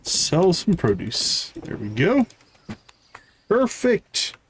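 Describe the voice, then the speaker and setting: A man's voice: high-pitched, huge pitch swings, drawn-out words, a little hiss or hum. A man doing casual live game commentary, thinking aloud and talking himself through each task step by step. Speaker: live game streamer, host.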